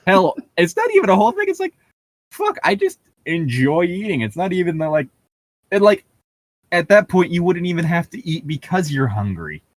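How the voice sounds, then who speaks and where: A man's voice: high voice, huge pitch swings, normal speed, no echo. A man bantering casually as a co-host, jokey and opinionated, swearing freely. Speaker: co-host reacting to a fan fiction reading.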